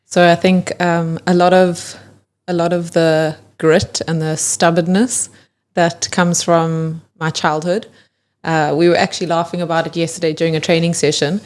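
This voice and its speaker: A woman in her thirties, a podcast guest who talks in a soft, plain and self-deprecating way and often laughs lightly. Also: fairly low pitch, flat delivery, moderate pace. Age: 30s. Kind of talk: casual interview conversation.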